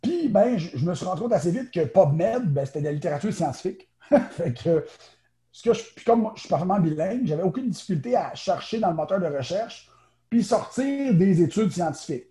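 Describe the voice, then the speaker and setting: A man with a Quebec French accent, a naturopath telling his story in a casual interview, quick and full of restarts. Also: high voice, lively intonation, mellow. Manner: chatty, quick, many fillers and restarts. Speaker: guest, naturopath.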